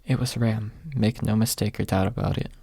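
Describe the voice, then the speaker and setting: A man reads a story as an ASMR audiobook narrator. His voice is husky with plenty of fry, and it sits close and dry in the ear. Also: on the low side, fairly even intonation, fairly quick, dark tone, no room sound. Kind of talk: ASMR audiobook reading, soft and close, whispery at times.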